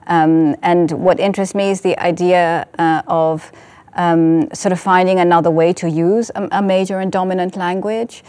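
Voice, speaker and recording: A woman speaking as a writer and filmmaker in a reflective artist talk, searching thoughtfully and haltingly for her words. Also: fairly low pitch, steady tone, darkish tone, smooth. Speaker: writer and essay filmmaker on a panel.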